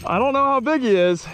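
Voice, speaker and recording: A young man filming his own fishing trip at an outdoor pond on a body-worn camera, chatting excitedly to viewers and laughing often. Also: extremely high pitch. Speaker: fishing vlogger. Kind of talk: casual vlog commentary. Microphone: body-worn action camera.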